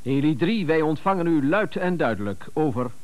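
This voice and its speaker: An actor playing a brisk police radio dispatcher in a radio drama, formal, clipped and procedural. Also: male voice, on the high side, lively intonation, a little hiss or hum, lightly acted. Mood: official.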